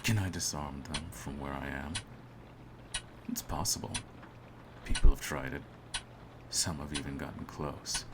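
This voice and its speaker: A man playing a cold, smug hitman in an audio roleplay, speaking in a theatrical, menacing and deliberate way. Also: very deep voice, steady tone, heavy background noise. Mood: cold, composed menace. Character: cold, smug male hitman holding his target at gunpoint. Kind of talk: scripted ASMR audio roleplay.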